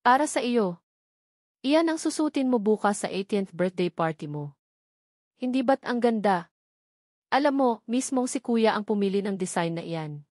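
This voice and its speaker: A woman's voice: medium pitch, lively intonation, normal speed, long pauses, a little mellow, dry recording, natural voice. A woman narrator plays a cheerful young woman in audiobook dialogue. She is a teasing, slightly bossy best friend, heard in a dry, close sound. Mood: upbeat, teasing.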